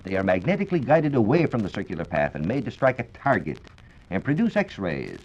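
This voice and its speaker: Husky, animated American radio science reporter. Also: male voice, on the low side, a little fast. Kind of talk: scripted 1940s radio science explanation. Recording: dull, lo-fi 1940s radio recording.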